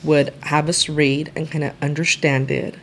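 A woman with a notably low voice speaks earnestly in an interview. She grew up in East Los Angeles with Spanish as her first language. A faint noise sits behind her. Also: flat delivery, normal speed. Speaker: lawyer guest. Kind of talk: conversational interview.

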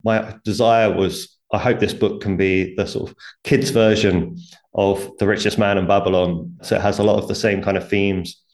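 A man's voice: fairly low pitch, level tone, moderate pace, a little mellow. A man, a guest author, explaining money habits earnestly in a conversational interview, with light laughs.

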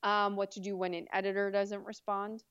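A woman with a deep voice talks in a relaxed, chatty way, with easy fillers and a light laugh now and then. Her tone is smooth and resonant, and she is recorded dry and close. The sound is a little dull, as on a modest podcast setup. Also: normal speed, dry recording. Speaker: PR agency owner, guest. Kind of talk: casual interview.

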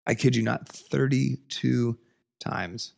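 A man hosting a solo podcast, raspy from a cold, talking in an earnest, emphatic, passionate way. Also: takes it slowly, darkish tone. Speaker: podcast host. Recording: lower audio quality.

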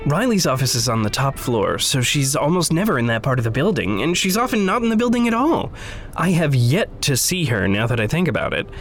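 An actor playing an earnest, stubborn idealist in an audio drama, speaking in precise, formal, halting phrases. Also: male voice, medium pitch, big pitch swings, faint music behind. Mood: earnest, hopeful. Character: earnest, stubborn idealistic man going undercover at a company. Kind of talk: naturalistic audio drama acting.

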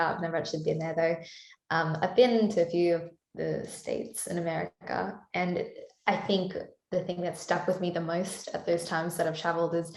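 A woman's voice: fairly low pitch, vocal fry, darkish tone. A woman singer-songwriter with a Canadian-sounding accent, chatting thoughtfully in an interview and laughing lightly as she talks. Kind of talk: casual interview chat. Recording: roomy echo.

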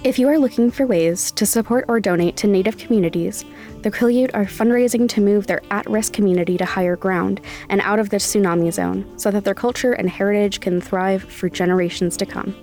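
A woman's voice: mid-range voice, a little fast, warm tone. A woman presenting a podcast, reading scripted announcements and credits. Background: faint music.

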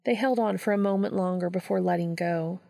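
A woman's voice: fairly low pitch, a little fast, creaky, mellow, dry recording, studio quality. A woman reading a scripted story aloud as an audiobook narrator. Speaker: audiobook reader.

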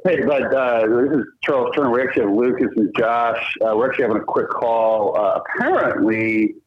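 A man's voice: medium pitch, brisk, husky. A man bantering casually and improvising prank calls as a podcast guest, heard over a lo-fi connection. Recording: dull, lo-fi phone-like connection.

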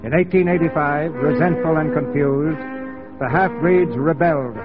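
Male radio-drama narrator, scripted and dramatic, lightly high register, lo-fi 1940s recording. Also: normal speed, over loud music.

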